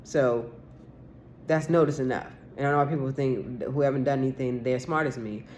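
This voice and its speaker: A woman's voice: a bass voice, moderate pace, a little mellow, slight background noise. A woman in her forties talking straight to camera in a rambling vlog, aggrieved and insistent, swearing casually and restarting her sentences often. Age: 40s. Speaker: vlogger. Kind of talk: rambling solo vlog monologue.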